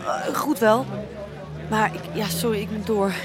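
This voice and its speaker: A woman's voice: medium pitch, fairly even intonation, a little slow, crowd noise, natural voice. An actress playing an evasive young girlfriend in a radio drama, talking in a hesitant, natural way. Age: young adult. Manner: hesitant, stammering. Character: evasive, nervous young girlfriend of a stubborn man. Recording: crowded background, street noise.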